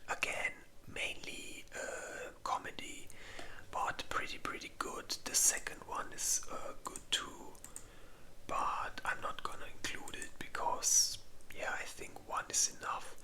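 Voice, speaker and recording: ASMR host, whispered voice, slow pace, bright airy hiss, casual halting rambling. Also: extremely high pitch, expressive. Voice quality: breathy unvoiced whisper. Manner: informal, slow, halting delivery with pauses.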